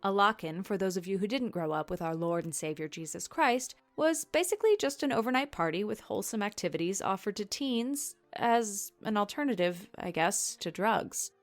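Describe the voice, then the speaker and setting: A woman's voice: medium pitch, expressive, moderate pace, hardly any pauses, faint music behind, natural voice. An actress playing a sardonic, anxious young woman who narrates a confessional podcast drama in a conversational, sweary way. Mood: wry, nostalgic. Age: young adult. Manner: wry, sarcastic, sweary. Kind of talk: confessional scripted monologue, conversational.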